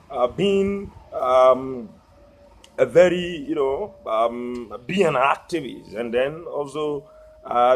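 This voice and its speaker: Hesitant Ghanaian man full of fillers. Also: mid-range voice. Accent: Ghanaian English. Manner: hesitant, heavy "uh" fillers and repeated words; rhetorical "what" before the key word. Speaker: social media activist. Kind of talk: monologue addressed to viewers.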